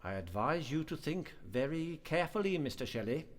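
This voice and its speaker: Man playing stern male college master, radio drama, measured authority, animated intonation, long hall reverb. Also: fairly high pitch. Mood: formal, measured. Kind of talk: radio play acting.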